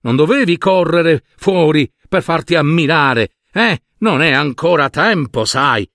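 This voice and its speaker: A man's voice: a little high, animated, unhurried, a bit bright, dry recording, studio quality, voice pushed up. A man voicing a scornful, bossy winter wind that chides and taunts in a storybook tale. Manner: chiding, taunting.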